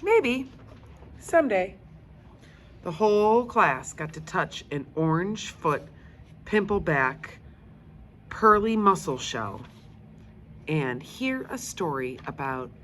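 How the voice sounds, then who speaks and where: A woman storyteller narrating a children's book aloud in an expressive style. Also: low voice, slow pace. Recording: faint background noise.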